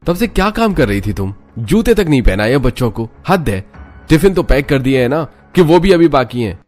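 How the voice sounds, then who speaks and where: A man's voice: medium pitch, big pitch swings, moderate pace, darkish tone, soft background music. A man voicing an irritable young husband in a cartoon story, scolding and impatient. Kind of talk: Hindi cartoon story dialogue.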